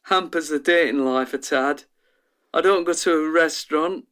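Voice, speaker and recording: A man's voice: fairly high pitch, moderate pace, a bit bright, clean tone, close to an everyday voice. An actor playing a troubled, self-deprecating young male patient in a radio drama, talking in a downbeat, matter-of-fact, colloquial way. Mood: downbeat, wry. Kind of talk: radio drama monologue, interview-like.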